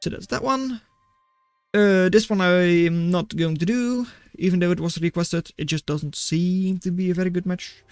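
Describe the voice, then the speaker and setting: A man's voice: high voice, moderate pace, darkish tone, clean tone, dry recording, soft background music. A man casting a strategy game replay live and chatting with viewers, matter-of-fact and dry in his remarks. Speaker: game caster, streamer.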